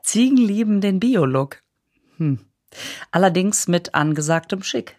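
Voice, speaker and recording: A woman's voice: mid-range voice, expressive, measured pace, darkish tone. A woman in casual chat, reading horoscope texts aloud and laughing at her own slips.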